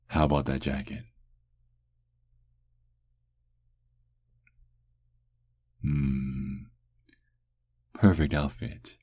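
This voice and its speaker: Male actor as flirty werewolf captive, rock-bottom pitch, unhurried drawl, sarcastic, teasing, ASMR roleplay, dry close recording. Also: a little mellow, no echo, full character voice. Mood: smug, flirtatious. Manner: teasing, sarcastic, slow drawl with lingering pauses.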